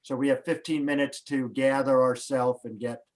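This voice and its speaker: A man hosting, talking casually and haltingly over a video call, coaching a guest before a show. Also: medium pitch, steady tone. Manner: hesitant, restarts, coaching tone. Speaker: host.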